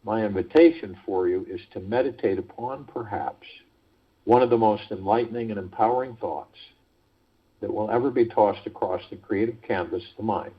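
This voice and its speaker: Husky low-voiced man guiding a meditation. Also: normal speed. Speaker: guided meditation call host. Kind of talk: guided meditation talk, reading prepared spiritual text. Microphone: phone conference line. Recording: muffled, lo-fi conference call audio.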